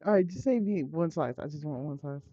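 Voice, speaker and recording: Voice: mid-range voice, animated, fairly quick, mellow, dry recording, dull audio. An American streamer of indeterminate-sounding sex talking informally to viewers, muttering and swearing in a casual live-stream style. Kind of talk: casual live game stream chat with read-aloud visual novel text.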